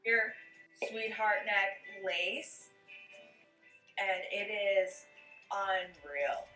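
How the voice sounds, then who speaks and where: A woman's voice: mid-range voice, fairly even intonation, very slow, sharp tone. A woman speaking informally and gushingly in a casual interview as a bride. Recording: echoey, distant television playback with faint music.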